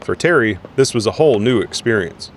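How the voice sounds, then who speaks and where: A man's voice: lowish voice, animated, fairly quick, slight background noise. A man reading scripted narration for an audio drama. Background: music.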